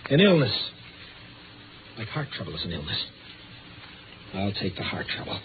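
An actor playing a desperate, panic-stricken man afraid of the dark, with halting, anguished delivery in a 1950s radio drama. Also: fairly low pitch, big pitch swings. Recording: noisy, phone-like old radio recording.

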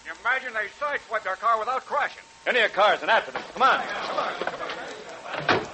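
An actor playing a commanding sheriff in a 1940s radio crime drama, calling out urgent, clipped orders. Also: male voice, high-pitched, expressive, moderate pace. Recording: noisy old 1940s radio recording.

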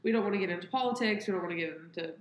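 A woman's voice: medium pitch, racing, roomy sound, slight background noise. A young adult woman with a cold co-hosting a podcast, sounding nasal and stuffy while chatting casually. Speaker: podcast co-host.

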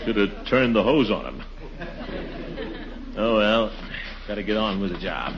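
An actor playing a pompous, excitable middle-aged householder who mutters and exclaims theatrically in a 1940s radio comedy. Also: male voice, fairly low pitch, unhurried, lo-fi sound, a crowd in the background. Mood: grumbling. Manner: muttering to himself, theatrical exclamations, chuckling bluster. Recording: noisy, band-limited 1940s radio recording.

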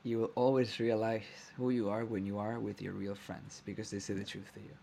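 A man hosting a podcast, chatting casually and warmly echoing his guest's words back. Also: fairly low pitch, level tone, fairly quick, creaky, slight background noise. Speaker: podcast host. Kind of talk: casual interview chat.

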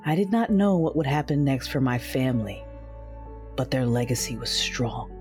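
Low and gentle, a loving mother who tells her family's story in a hushed, reflective audio drama voice. A clear music score plays under every word. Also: expressive. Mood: tender. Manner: soft, reflective, slow narration. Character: a mother narrating her family's farewell, tender. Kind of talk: first-person audio drama narration.

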